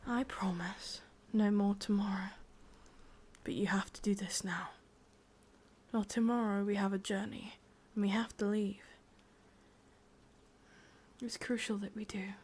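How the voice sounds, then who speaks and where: A woman acting a slow, breathy, sadistic English witch. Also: medium pitch, fairly even intonation, darkish tone. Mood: coaxing, controlling. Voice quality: breathy, mostly whispered. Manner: slow, deliberate, intimate delivery. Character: sadistic, possessive yandere witch, commanding yet doting. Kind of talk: whispered ASMR roleplay.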